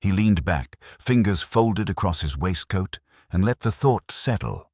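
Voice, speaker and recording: A man reading a scripted mystery story as narrator, in a measured, deliberate literary manner. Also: low voice, expressive, a little mellow, dry recording, studio quality.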